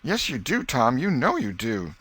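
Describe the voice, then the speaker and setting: A man's voice: mid-range voice, huge pitch swings, moderate pace, a bit bright, breathy edge, voice pushed up, exaggerated voice. A man voicing a tender, easily hurt little schoolgirl with simple, girlish speech in an audiobook. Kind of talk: audiobook character reading.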